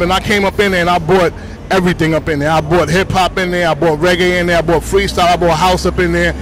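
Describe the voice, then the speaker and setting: A man with a Bronx New York accent, a DJ talking casually in slang on a city street, full of shout-outs and "you know what I'm saying". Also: high voice, a little hiss or hum, poor audio. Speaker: DJ interviewed on the street.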